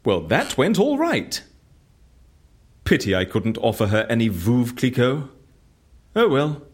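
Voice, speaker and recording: An eccentric, suave male fixer in an audio drama. His husky voice swings extravagantly up and down, breezy and theatrical, with a dry, playful flippancy. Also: medium pitch, sing-song, a little slow. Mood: wistful, musing.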